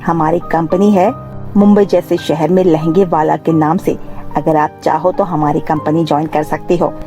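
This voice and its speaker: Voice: mid-range voice, steady tone, normal speed, dark tone, faint music behind, pitched below the performer's own voice, close to an everyday voice. A performer voicing a polite, persuasive company man in a cartoon moral story.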